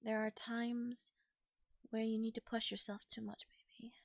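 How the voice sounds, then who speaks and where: Woman acting a tender, soothing girlfriend, high voice. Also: level tone, moderate pace, no room sound, clean studio sound. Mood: soothing, concerned. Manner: tender, soothing, coaxing, breathy near-whispers at times. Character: caring, affectionate girlfriend comforting a tired partner. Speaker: voice actor. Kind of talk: soft intimate ASMR audio roleplay. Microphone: close microphone in a dry space.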